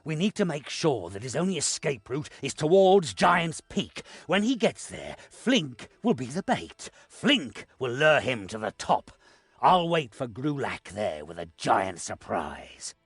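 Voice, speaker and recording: A man playing a shrewd, commanding war leader in a fantasy game, measured and authoritative. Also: medium pitch, big pitch swings, breathy edge, no echo. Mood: resolute scheming.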